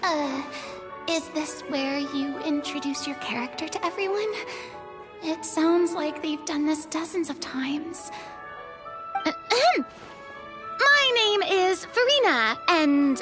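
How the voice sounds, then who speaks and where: Timid young noblewoman, anime game, high voice, unhurried, hesitant, music underneath. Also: expressive. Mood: shy, self-conscious. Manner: hesitant, stammering. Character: timid, earnest young noblewoman, new to role-playing games. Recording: music behind the voice.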